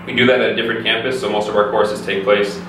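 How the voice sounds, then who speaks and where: A young man from Canada, a physiotherapy student, explaining things in a steady, easygoing way in an interview. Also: lowish voice, fast. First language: English. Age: young adult. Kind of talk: casual interview answers. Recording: echoey room, distant mic, background noise.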